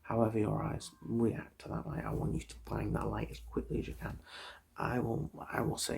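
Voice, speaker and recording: A man speaking in a soft, breathy half-whisper with a husky, gravelly edge, gently reassuring and chatty as he plays an eye examiner in an ASMR roleplay. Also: on the low side, completely flat delivery, moderate pace, a little hiss or hum.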